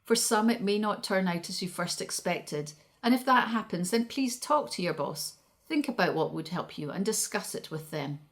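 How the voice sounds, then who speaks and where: A middle-aged woman giving a scripted congratulatory address in a measured, encouraging, reassuring way. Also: medium pitch, lively intonation. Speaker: guest speaker, veterinary surgeon.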